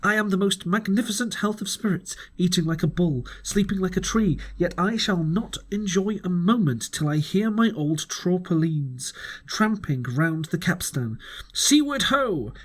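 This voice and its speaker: Storybook squire, played by a male reader: grandiose, excitable and emphatic, with big pitch swings and a rough-edged voice. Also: a little slow. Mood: exultant. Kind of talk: storybook letter read aloud.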